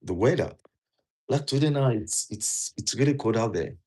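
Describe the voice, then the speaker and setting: A man's voice: medium pitch, lively intonation, moderate pace, a little mellow, breathy edge. A man from Nigeria with a Nigerian accent, giving earnest, repetitive advice to viewers in a casual talk. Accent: Nigerian English. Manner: earnest, repeats key points, asks the viewer questions. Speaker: vlogger giving immigration advice. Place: indoors, wearing a jacket.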